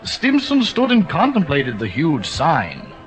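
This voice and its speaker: A man narrating a classic short story with expressive, dramatic storytelling. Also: fairly high pitch, takes it slowly, faint music behind. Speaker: audiobook narrator. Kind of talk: scripted narration.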